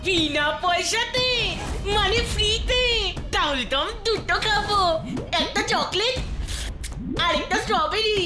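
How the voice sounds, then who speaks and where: A woman voicing an innocent, eager young child in a cartoon, with an expressive childlike delivery. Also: very high-pitched, a little slow. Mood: delighted. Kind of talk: cartoon character acting. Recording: echoing, music behind the voice.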